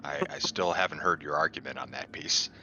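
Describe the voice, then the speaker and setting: Very deep gravelly man on a muffled mic. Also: brisk, bright, forceful tone, a little hiss or hum. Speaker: player. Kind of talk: casual chat.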